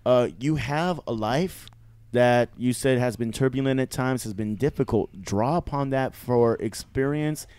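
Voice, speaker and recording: A man's voice: mid-range voice, measured pace, a little mellow, clear, resonant tone, no echo. A man giving casual critique as a show panelist, in a conciliatory, encouraging way. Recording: faint music behind.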